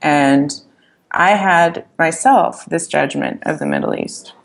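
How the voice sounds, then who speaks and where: A woman in a casual podcast interview, sharing her experiences and advice with emphatic, motivational energy. Her voice sits low and carries a gravelly edge. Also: slow pace. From Iran, raised in the US. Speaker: startup founder, interview guest.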